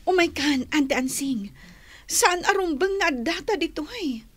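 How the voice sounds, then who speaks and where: Cautious high-voiced niece. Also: female voice, animated, measured pace, fairly bright tone, dry recording. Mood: anxious. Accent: Ilocano Filipino. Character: cautious, worried young niece driving her aunt. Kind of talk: Ilocano radio drama acting.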